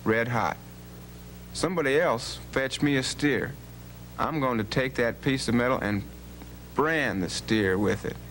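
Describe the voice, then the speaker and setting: Male actor as bold cowboy rancher, mid-pitched, folksy, authoritative, radio play, slight background hum. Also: measured pace, pauses often, fairly bright tone, close to an everyday voice. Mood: confident, commanding. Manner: folksy, plain-spoken, authoritative. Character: bold, commanding legendary cowboy, big-idea rancher. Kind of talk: educational radio drama acting. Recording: hum and faint background noise.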